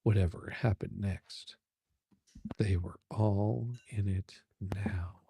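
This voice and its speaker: Low, gravelly man narrating steamy romance. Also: fairly even intonation, slow pace, warm tone. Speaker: narrator in a dramatic reading. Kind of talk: dramatic romance audiobook narration.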